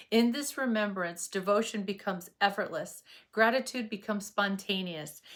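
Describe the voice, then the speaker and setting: A woman narrating a scripted guided meditation as a yoga nidra guide, with gentle, unhurried and measured phrasing. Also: mid-range voice.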